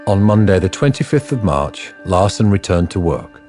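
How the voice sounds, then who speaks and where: A man playing the measured storyteller of a detective radio drama, reading scripted narration. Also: low-pitched, very animated, music in the background.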